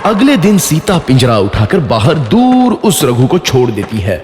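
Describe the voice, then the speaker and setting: A man's voice: medium pitch, wildly swooping pitch, moderate pace, a little mellow. A man reading scripted storybook narration for a children's cartoon. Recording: echoey, long reverb, faint music behind. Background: faint music.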